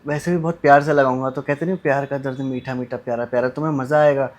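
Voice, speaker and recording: An actor playing a sleazy young man who poses as a doctor, talking smooth and suggestive in a short film. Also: medium pitch, fairly even intonation, fast talker, close to an everyday voice.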